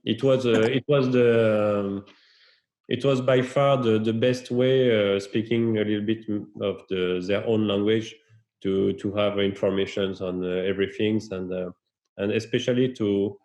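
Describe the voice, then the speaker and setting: Halting French-accented man, echoey. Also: lowish voice, fairly even intonation, a little mellow. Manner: halting, many fillers and restarts. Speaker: guest researcher. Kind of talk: webinar interview answers.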